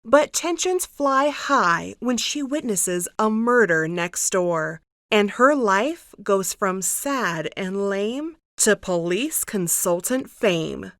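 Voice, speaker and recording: A woman's voice: mid-range voice, lively intonation, slow, few pauses, fairly bright tone, dry recording, clean studio sound. A woman narrating a scripted book blurb as a promotional teaser.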